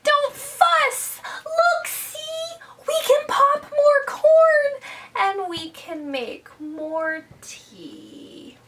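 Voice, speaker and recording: A woman voicing a tiny, squeaky storybook mouse who is eager and consoling. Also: sing-song, drawn-out words, a bit bright, exaggerated voice. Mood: eager, soothing. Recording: distant mic with faint background noise.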